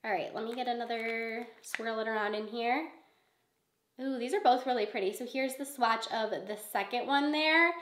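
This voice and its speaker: High-voiced raspy woman with a cold. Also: normal speed, roomy sound. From Michigan. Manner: chatty and excitable, gushing over products. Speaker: beauty YouTuber. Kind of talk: casual unboxing vlog.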